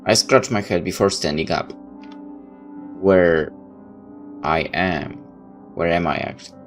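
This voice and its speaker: A man with a low voice reads a first-person story aloud in the manner of a casual gamer. His narration is loose enough for side comments of his own, and soft music plays behind him. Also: a little slow. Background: background music.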